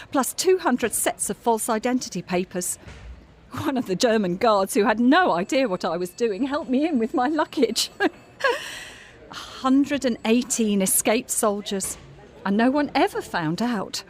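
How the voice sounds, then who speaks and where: A proud, imperious female star, with a fairly high voice that swings widely in pitch. She speaks commandingly and theatrically, justifying herself in radio-play style. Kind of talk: audio drama acting.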